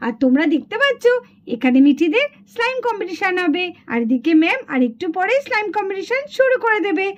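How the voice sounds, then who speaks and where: A woman's voice: very high voice, sing-song, quick pace. A woman narrating a children's puppet story. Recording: dull lo-fi sound.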